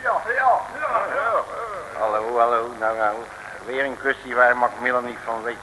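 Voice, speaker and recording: An actor playing a blustering, grumbling detective in a radio serial, excitable and folksy in his speech. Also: medium pitch, moderate pace, lightly acted. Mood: wry. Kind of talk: 1950s-60s radio serial acting. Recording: old radio recording, faint noise.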